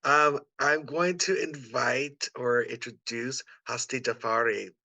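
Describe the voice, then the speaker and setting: Bright-voiced man, effusive event host. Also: medium pitch, steady tone, slow, dry recording. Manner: effusive, encouraging. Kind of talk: hosting an online event. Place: indoors on a video call.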